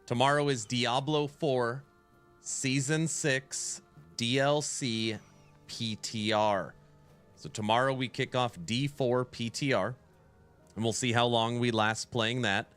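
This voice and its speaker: A man's voice: mid-range voice, slow, faint music behind. Man streaming with a stuffy cold. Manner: relaxed, answers chat questions aloud. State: nasal from a cold. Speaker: game streamer. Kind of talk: casual live-stream chat.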